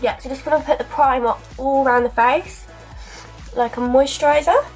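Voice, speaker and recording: A young woman with a snuffly cold chats her way through a makeup routine, filling pauses with ums and little asides. She is speaking to a camera close in front of her in a small dressing room, with faint music underneath. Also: high-pitched, steady tone. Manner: chatty, filler-heavy, talks while applying makeup. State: stuffy from a cold. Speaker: beauty vlogger. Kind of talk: casual makeup tutorial. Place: small bedroom dressing area indoors. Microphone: camera in front at arm's length.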